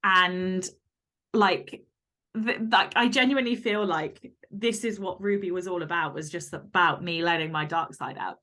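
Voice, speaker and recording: Woman, slightly low voice, casual interview chat, emphatic, candid, author guest. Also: unhurried, pushed and bright.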